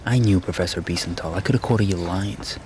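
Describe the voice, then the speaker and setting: Deep-voiced, self-reproaching student played by a man. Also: a little fast, a little mellow, close to an everyday voice. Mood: anxious, rueful. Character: anxious, self-reproaching young male graduate student. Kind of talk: radio drama inner monologue. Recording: clear background noise.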